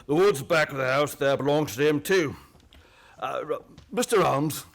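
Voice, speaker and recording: An actor playing a plain-spoken, eager local police sergeant in a radio play, folksy, deferential and a little hesitant. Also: male voice, fairly high pitch, moderate pace, close to an everyday voice.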